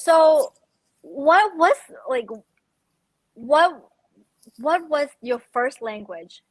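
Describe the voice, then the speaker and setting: A woman hosts the interview, her questions tumbling out eagerly with restarts and rephrasing. Her voice sits a little high, and the sound is dry and close. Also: lively intonation, very slow, no room sound. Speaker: host.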